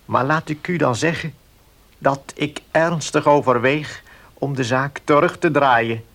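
Gravelly, formal notary. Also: male voice, mid-range voice, fairly even intonation, fairly bright tone. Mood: uneasy, insistent. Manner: formal, hesitant, measured. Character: courteous, uneasy notary with a troubled conscience. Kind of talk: Dutch radio play acting.

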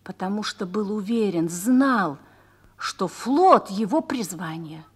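Animated, earnest motherly woman. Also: medium pitch, slow, breathy edge. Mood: storytelling. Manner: earnest, persuasive, instructive storytelling. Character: earnest, motherly officer's wife, seasoned garrison mentor. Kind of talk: Russian radio play dialogue.

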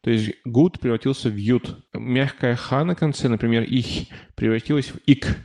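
Male podcast regular, middle-pitched voice, relaxed anecdotal chat with lots of fillers. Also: moderate pace. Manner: rambling storytelling with frequent fillers and restarts. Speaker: podcast guest living abroad. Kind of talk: casual chat.

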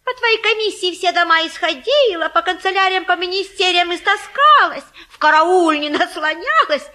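An actress playing a garrulous, folksy old Russian matchmaker in a stage comedy, coaxing and boasting theatrically. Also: female voice, very high voice, lively intonation, moderate pace, pushed and bright, exaggerated voice. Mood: breathless complaining. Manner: folksy, garrulous, effusive, sing-song coaxing. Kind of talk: theatrical stage-comedy acting of a classic play.

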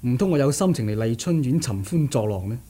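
A man's voice: mid-range voice, lively intonation, fast, warm tone, natural voice. A male actor playing a determined, sardonic young martial-arts nephew in a radio drama, theatrical, scoffing and insistent. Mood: earnest, pressing. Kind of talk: Cantonese radio drama acting.

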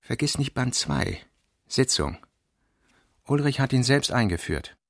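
A man with a husky voice reading out terse working notes in a scripted radio production. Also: medium pitch, lively intonation, unhurried. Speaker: reader of author's working notes.

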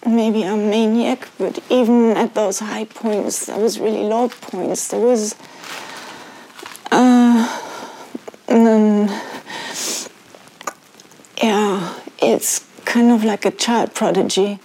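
A woman gives a reality-TV style confessional in a mellow, medium-pitched voice. She goes slowly and haltingly, feeling for each phrase. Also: steady tone, rough recording.

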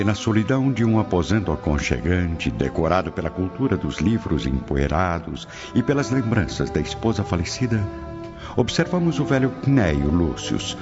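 Deep male narrator for a radio play, solemn, lively intonation, loud music behind. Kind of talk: scripted radio drama narration. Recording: muffled sound with prominent music.

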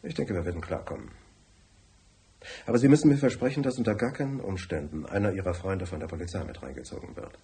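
Deep-voiced, brusque businessman. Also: animated, fast, warm tone. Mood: haggling, impatient. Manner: curt, formal, bossy. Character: brusque, tight-fisted, wealthy businessman client. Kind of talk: radio drama acting.

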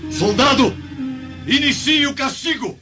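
An actor playing a cruel, commanding Roman guard chief in a radio drama, scornful and theatrical. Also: male voice, extremely high pitch, lively intonation, unhurried. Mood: furious. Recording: dull recording with music behind. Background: music.

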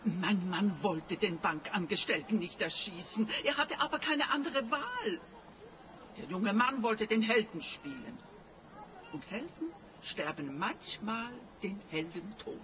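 An actress playing a cool, calculating older client in a radio play, composed and insistent. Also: female voice, medium pitch, lively intonation, measured pace, a crowd in the background, close to an everyday voice, low-quality recording. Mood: firm, cold. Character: cool, calculating older female client, a bank robber's wife.

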